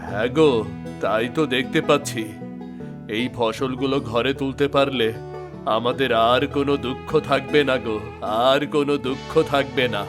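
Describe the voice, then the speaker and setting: An earnest village farmer, played by a man talks in a plain, mid-range voice. He speaks his dialogue simply, with faint music underneath in this animated storybook. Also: medium pitch, normal speed, natural voice.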